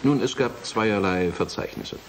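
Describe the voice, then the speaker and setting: A man's voice: fairly low pitch, measured pace, a little hiss or hum, close to an everyday voice. A male actor playing a self-assured institute president who explains things formally in a German radio play.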